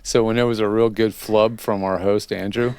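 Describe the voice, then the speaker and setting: A man's voice: on the low side, steady tone, normal speed, darkish tone, clear, resonant tone, no echo. A man hosting a casual interview, talking in a conversational, explanatory way. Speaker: host interviewer. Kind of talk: casual interview chat about recording.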